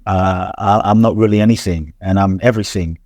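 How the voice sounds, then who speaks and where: A man with a lowish voice talks earnestly as an interview guest. His speech is reflective and full of restarts and repeated words. The sound is dry and close. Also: steady tone, fairly quick, darkish tone, no echo, muffled recording. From grew up in London. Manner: earnest, repetitive, frequent restarts and stammered repeats. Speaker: transformational teacher, podcast guest.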